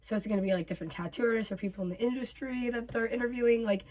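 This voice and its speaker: A woman in her twenties hosting a reaction vlog, voice raspy from bronchitis, chatting casually with many fillers. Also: fairly high pitch, racing, darkish tone. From North America. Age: 20s. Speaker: YouTube host.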